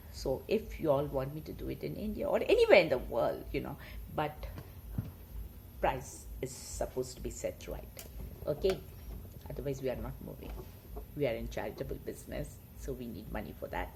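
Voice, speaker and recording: Animated woman chatting with emphatic repetition. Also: a bass voice, slight background noise, rough recording. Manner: emphatic, repeats words for stress. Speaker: online storyteller and talker. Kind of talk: rambling casual chat and reading stories aloud.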